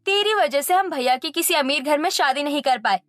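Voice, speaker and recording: A woman's voice: high voice, lively intonation, fairly quick, fairly bright tone, no room sound, studio quality, soft background music. A woman voicing a cruel, spiteful in-law in a Hindi cartoon story, scolding and menacing.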